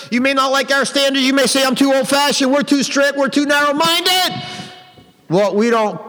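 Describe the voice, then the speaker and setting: High-pitched man preaching sternly in reverberant hall. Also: fairly bright tone. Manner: stern, emphatic, repeats phrases for effect. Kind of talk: fiery old-fashioned sermon.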